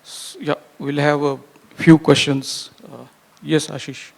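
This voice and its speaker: A man moderating a conference panel, polite and halting with many repeats. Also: on the high side, level tone, gravelly. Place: conference hall.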